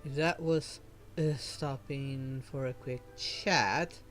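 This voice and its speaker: A man's voice: mid-range voice, fairly even intonation, slow pace. A man streaming a game, giving sarcastic live commentary and heckling the characters. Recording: faint game music behind. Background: game music.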